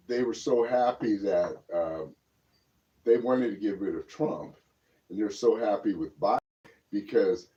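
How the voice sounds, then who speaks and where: Deep-voiced man, mellow and distant. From born in Germany. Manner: emphatic, opinionated, rambling with repeated words. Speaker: political talk show co-host. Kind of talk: casual political commentary. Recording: muffled lo-fi audio, distant microphone.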